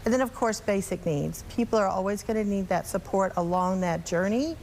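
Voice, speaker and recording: Articulate woman guest, mid-pitched, faint background noise. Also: fairly quick, a little mellow. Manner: articulate, organized, polished. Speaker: nonprofit executive, interview guest. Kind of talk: explanatory TV interview. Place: TV studio.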